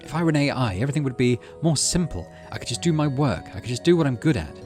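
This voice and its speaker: A man's voice: medium pitch, big pitch swings, fast, darkish tone, faint music behind, voice pushed up, natural voice. A man voicing an earnest, troubled young female scientist in a sci-fi game, speaking precisely. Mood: bitter, upset.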